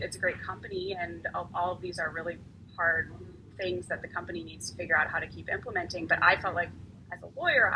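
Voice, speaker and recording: A woman with a somewhat low voice talks as a lawyer on a panel, chatty and loose, circling back and repeating words as she thinks. A constant hiss of noise sits behind her. Her delivery sounds unscripted and conversational. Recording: noisy, hissy recording.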